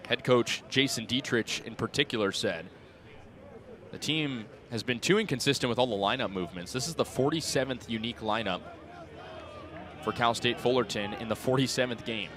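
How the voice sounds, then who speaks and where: A man in his twenties calling baseball play-by-play for radio in crisp, clipped phrases that lift on the action. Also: medium pitch, fairly quick, fairly bright tone. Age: 20s. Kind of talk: live radio sports commentary. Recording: outdoor ballpark crowd behind voice. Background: ballpark crowd.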